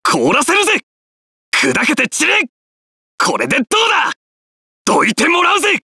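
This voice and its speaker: An actor playing a rough, brash but friendly young warrior lord in a video game, casual and confident. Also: male voice, very high-pitched, huge pitch swings, fast talker, bright, forceful tone, dry recording, studio quality, exaggerated voice. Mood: fierce battle cry. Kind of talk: Japanese video game character voice clips.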